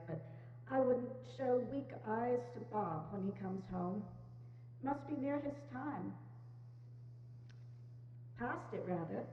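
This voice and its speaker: A woman playing a weary, loving, grieving mother in a dramatic stage reading, tender and halting. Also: medium pitch, lightly acted. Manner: tender, halting, repeats phrases. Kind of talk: dramatic reading of a Victorian classic. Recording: echoey church hall, dull, hum.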